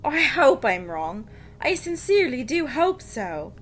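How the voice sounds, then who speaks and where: A woman's voice: sits high, big pitch swings, a little slow, fairly bright tone, a little hiss or hum, exaggerated voice. A woman playing an anxious, hesitant married lady in a melodramatic radio crime drama. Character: anxious, fretful married society lady informant. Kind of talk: melodramatic period radio acting.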